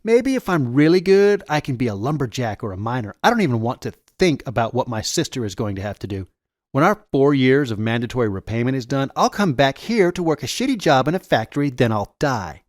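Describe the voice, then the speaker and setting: A bitter, foul-mouthed teenage rebel voiced by a male narrator in a story reading. He is sardonic and emphatic, with lively swooping pitch and a dry close sound. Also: a little fast, no room sound, natural voice.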